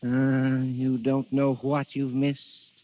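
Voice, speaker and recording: An actor in 1930s radio drama playing a genial, worldly older baron with an urbane, avuncular manner. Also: male voice, medium pitch, completely flat delivery, slow, warm tone, lightly acted. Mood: teasing. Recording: dull vintage 1930s radio recording.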